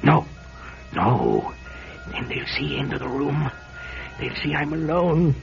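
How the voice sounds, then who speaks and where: A frightened old hermit in a radio play, high and swooping in pitch. He mutters to himself in slow, halting phrases and dramatic exclamations. The recording is dull and lo-fi, with music behind. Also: animated, creaky, exaggerated voice. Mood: anxious, fearful. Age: older. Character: old frightened, paranoid, secretive male recluse.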